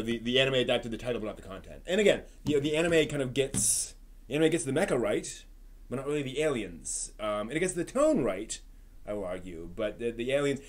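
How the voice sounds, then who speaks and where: A man hosting a live stream, talking off the cuff in a discursive, opinionated way, often repeating words as he thinks aloud. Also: mid-range voice. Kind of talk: unscripted opinionated chat about books and films.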